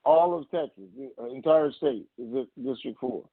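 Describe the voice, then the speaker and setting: A man's voice: mid-range voice, huge pitch swings, normal speed, vocal fry, breathy edge, no echo. A man hosting a call-in conference call, talking casually and off the cuff in a plain-spoken, folksy way. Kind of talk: casual unscripted phone talk with callers. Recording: muffled narrow phone line.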